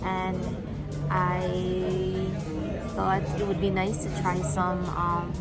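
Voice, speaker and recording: A woman's voice: on the low side, monotone, extremely slow, loud music behind the voice. A woman chatting casually through a travel vlog, rambling with frequent fillers. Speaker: travel vlogger.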